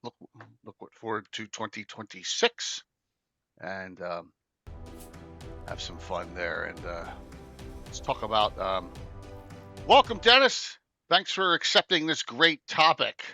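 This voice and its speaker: A man in his late fifties hosting a casual chat show, joking and rambling excitedly. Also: fairly low pitch, takes it slowly, long pauses, faint music behind. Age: 50s. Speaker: podcast host.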